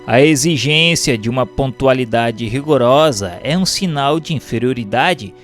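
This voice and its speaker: A man with a Brazilian accent reading a doctrinal text aloud as a narrator, in a formal, measured, didactic way. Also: fairly high pitch. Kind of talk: scripted audiobook-style narration of a doctrinal text.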